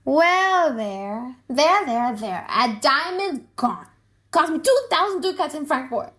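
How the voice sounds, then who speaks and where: Vengeful older moneylender, played by a woman, high-pitched, indignant, rhetorical, for an audiobook drama. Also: very animated, slow pace, fairly bright tone, heavily acted. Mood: anguished. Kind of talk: dramatic audiobook reading of a play.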